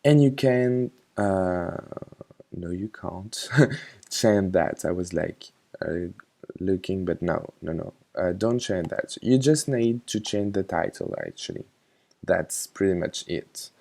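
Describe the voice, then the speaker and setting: A man casually narrating a software tutorial, talking informally and a little hesitantly as he instructs. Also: sits low, slow, vocal fry.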